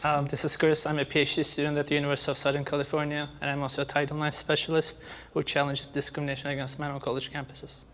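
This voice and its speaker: A man in his thirties, speaking in a measured, assertive way as a debate participant. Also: a little high, completely flat delivery, fairly bright tone, a little hiss or hum. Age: 30s. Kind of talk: filmed debate. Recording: reverberant room.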